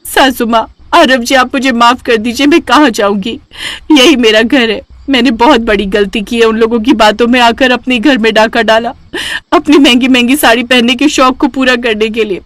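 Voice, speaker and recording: A woman's voice: on the high side, normal speed, fairly bright tone, no room sound, pitched above the performer's own voice, close to an everyday voice. Woman doing a pleading remorseful young wife. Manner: pleading, tearful.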